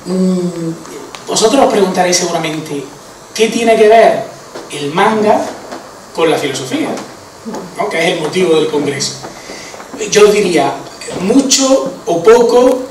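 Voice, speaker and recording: A man's voice: sits high, animated, a little slow, long pauses, a little hiss or hum. A man with an Andalusian Spanish accent giving an informal conference talk, chatty, with many fillers and tag questions. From Andalusia, Spain. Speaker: conference speaker. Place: conference hall. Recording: echoey hall, voice from far off.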